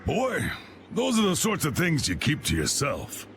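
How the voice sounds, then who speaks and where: A blustery old American guild boss for a video game. His gravelly voice sits a little high and swings widely, hearty and folksy yet used to giving orders. Clear background noise sits behind it. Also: wildly swooping pitch, a little slow, full character voice. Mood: gruff, stern. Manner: folksy, commanding.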